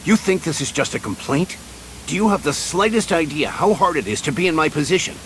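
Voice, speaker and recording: A man's voice: a little high, lively intonation, a little fast, fairly bright tone, a little hiss or hum, poor audio. A man playing a stern, long-suffering military commander in a video game voice drama, formal and exasperated in manner.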